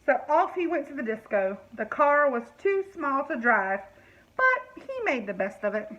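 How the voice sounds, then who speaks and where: Woman, high voice, picture-book read-aloud, explanatory asides, questions to listeners. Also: big pitch swings, moderate pace, muffled recording.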